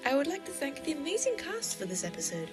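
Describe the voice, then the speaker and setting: A woman's voice: high-pitched, wildly swooping pitch, fast. A woman reading scripted closing credits as presenter, with music playing behind her. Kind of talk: scripted podcast sign-off. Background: loud music.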